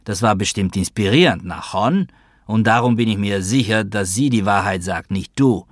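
A man's voice: lowish voice, animated, normal speed, no room sound, studio quality. A man playing a hurt, sarcastic younger brother, grown up and confrontational, in a literary audiobook story.

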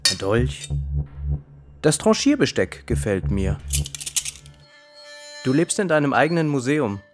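An actor playing an observant, dryly wry companion in a radio drama, itemising things in a deliberate way. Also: male voice, medium pitch, very animated, soft background music.

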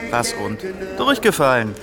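A man's voice: a little high, huge pitch swings, a little slow, over music. An actor playing a young man who has been rejected, bitter and sarcastic.

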